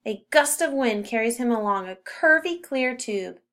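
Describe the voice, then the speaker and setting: A woman narrating a children's story as a read-aloud, with expressive emphasis on key words. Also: a little high, moderate pace. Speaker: storybook reader.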